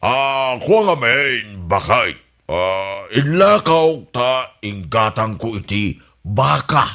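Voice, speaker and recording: A man's voice: mid-range voice, lively intonation, slow, pushed and bright, exaggerated voice. A man playing a scheming old husband who keeps chuckling at his own plans in a comedy radio drama. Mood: sly. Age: older. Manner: chuckles constantly, sly and boastful. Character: scheming old husband running for village captain.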